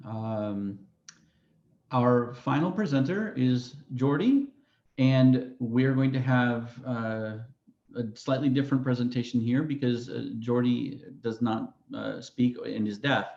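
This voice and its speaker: A man with a somewhat low voice introduces a presenter at an online showcase. He speaks very slowly, with many fillers and restarts. The video call audio is echoey and dull. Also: a little mellow. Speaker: showcase moderator.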